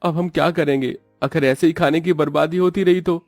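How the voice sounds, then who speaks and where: A man's voice: fairly high pitch, fairly even intonation, a little fast, no room sound, faint music behind, voice pushed up, lightly acted. A man voicing a worried, downhearted roadside eatery owner in a cartoon, with a plaintive, exclamatory delivery. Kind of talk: Hindi moral-story cartoon dialogue.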